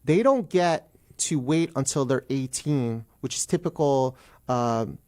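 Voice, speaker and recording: A man's voice: medium pitch, measured pace, darkish tone. Middle-aged man explaining as an expert guest. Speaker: guest attorney on a radio show. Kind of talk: interview, explaining legal procedure.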